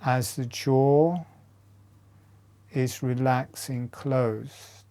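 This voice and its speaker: A man with a mellow, relaxed voice of medium pitch guides a breathing meditation. He speaks extremely slowly, in short measured phrases, leaving long pauses between them as an instructor would.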